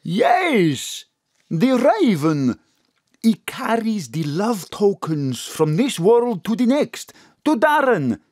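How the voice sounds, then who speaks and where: Slow, wildly swooping mystical friend. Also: female voice, medium pitch, sing-song, darkish tone, dry recording, studio quality. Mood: knowing. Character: Stakis, earnest, mystical Greek friend. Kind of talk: audio drama acting.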